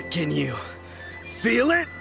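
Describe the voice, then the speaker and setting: An actor playing a crazed, boastful manly man in a video game, manic and taunting with drawn-out words. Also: high voice, wildly swooping pitch, gravelly, music in the background, exaggerated voice. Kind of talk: English video game voice acting.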